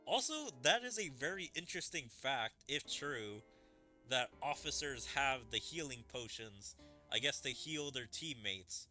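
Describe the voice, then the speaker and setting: Very bright-voiced man commentating a game. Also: mid-range voice, sing-song, measured pace. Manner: analytical, puzzles over wording aloud. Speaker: gaming streamer. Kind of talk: let's play commentary, reading dialogue aloud. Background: video game music.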